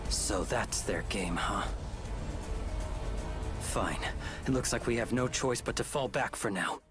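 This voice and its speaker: A man voicing a serious, steady team leader in an anime-style game, earnest and resolute. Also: on the low side, slow. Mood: grim, thoughtful. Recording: game music behind.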